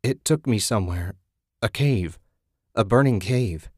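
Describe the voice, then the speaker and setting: A man's voice: on the low side, measured pace, a little mellow, no echo, studio quality. A man voicing a wary, agitated young husband in a horror audiobook, emphatic and exasperated. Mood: shaken.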